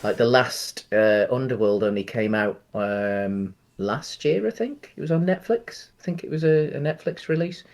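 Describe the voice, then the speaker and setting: Man, average pitch, podcast co-host, casual film chat, hesitant, meandering, hedged opinions. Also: animated, moderate pace, few pauses, a little mellow.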